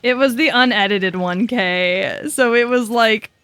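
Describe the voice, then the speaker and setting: A woman's voice: on the high side, measured pace, a bit bright, clean tone, dry recording. A woman in her twenties chatting casually on a game stream, laughing easily, swearing, and muttering to herself as she works things out. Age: 20s. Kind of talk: casual gaming stream chat.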